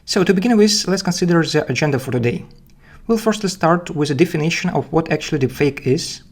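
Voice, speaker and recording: A man with a Belarusian accent giving technical explanations as a guest speaker, haltingly, often repeating words. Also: fairly high pitch, lively intonation. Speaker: guest speaker, data scientist. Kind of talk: technical presentation and interview answers.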